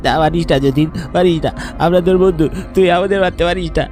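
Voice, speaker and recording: A man's voice: high voice, fast talker, music in the background. A man voicing a guilty, nervous village man who pleads for his life in a cartoon. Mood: pleading. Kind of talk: cartoon voice acting.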